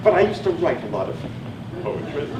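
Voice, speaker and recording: A man playing a chatty, eager psychiatric patient in a stage play, pedantic and rambling, excitable and prone to repeating himself. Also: fairly high pitch, fairly quick, background noise. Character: chatty, eager know-it-all male psychiatric patient named Ethan. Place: theatre stage, recorded from a distance. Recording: echoey stage recording, distant mic, music.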